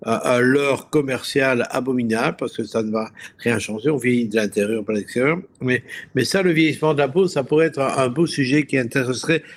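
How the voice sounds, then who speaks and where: A male health expert talks as a radio guest, with a mid-pitched voice. He explains scientific matters in a didactic but conversational way, wandering into asides and hesitating as he looks for the right word. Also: brisk. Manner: didactic, digressive, often checking with "ok?".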